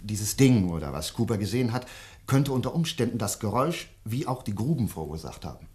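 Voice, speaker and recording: Earnest, low-voiced, insistent doctor. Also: male voice, very animated, a little fast, mellow. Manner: hesitant, searching for words, insistent. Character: earnest, insistent male doctor investigating a mystery. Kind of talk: German radio play acting.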